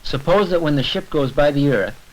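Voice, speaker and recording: A man's voice: on the high side, animated, moderate pace. A man narrating an educational science short in an informal, conversational lecture style, explaining step by step. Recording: noisy old 1970s film soundtrack with clicks.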